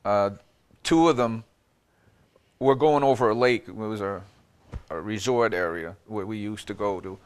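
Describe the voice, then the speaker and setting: Older male interviewer with halting questions. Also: fairly low pitch, smooth. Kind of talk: conversational interview.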